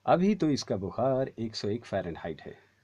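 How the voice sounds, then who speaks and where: Man, 30s, voice-over trainee. Rough-edged voice, lively intonation, script reading in a studio booth. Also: medium pitch, normal speed. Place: small indoor recording studio booth. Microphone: studio condenser mic with pop filter.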